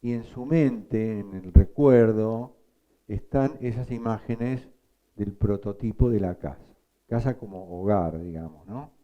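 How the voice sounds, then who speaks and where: A man teaching a psychoanalysis class, explaining slowly and quizzing his students. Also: fairly low pitch, dark tone, lo-fi sound. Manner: slow, explanatory, asks the class questions. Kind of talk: lecture.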